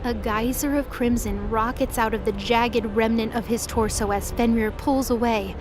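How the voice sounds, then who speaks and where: A woman narrating an audio drama in a dramatic, scripted storytelling style. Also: fairly high pitch.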